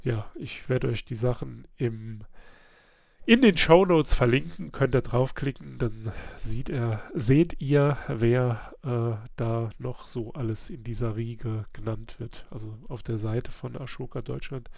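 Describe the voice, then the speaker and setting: A man with a gravelly edge hosts a relaxed book club podcast while nursing a slight cold. His voice is dry and close, with nothing around it. He chats loosely, laughs often and fills pauses with little hesitation sounds. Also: medium pitch, no echo. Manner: chatty, laughs often, many filler sounds. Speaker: podcast host.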